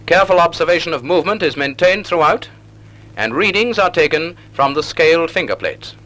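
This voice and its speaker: Male, 1940s instructional film narrator. Slightly high, crisp-edged bright tone, measured didactic delivery, vintage soundtrack. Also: expressive, low-quality recording.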